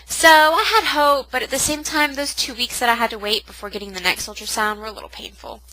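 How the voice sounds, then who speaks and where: A woman's voice: on the high side, fairly quick, pushed and bright, low-quality recording. A young woman with a cold, sounding nasal and sniffly, chatting in a casual, self-mocking home vlog. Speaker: vlogger. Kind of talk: casual pregnancy update vlog.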